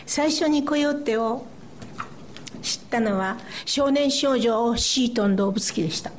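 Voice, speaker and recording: A woman from Japan, a poet speaking English with a Japanese accent and Japanese as her first language. She talks haltingly with many fillers and laughs, then reads her poems aloud at a live festival reading. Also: on the high side, a little hiss or hum. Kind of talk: live poetry reading with chatty introduction. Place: festival stage before an audience.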